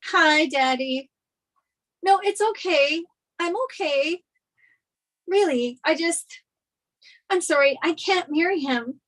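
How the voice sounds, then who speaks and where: A woman's voice: high-pitched, slow pace, pauses often, fairly bright tone, poor audio. A woman playing a flustered, anxious young runaway bride in a sitcom table read. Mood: pleading.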